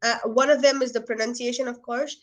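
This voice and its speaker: A young woman with an Indian accent, chatting earnestly and lively, often saying "you know". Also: on the high side, fairly quick. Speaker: guest. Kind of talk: casual video chat.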